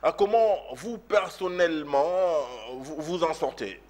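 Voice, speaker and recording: A man interviewing a guest, asking measured questions with some fillers. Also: a little high, expressive, a little mellow.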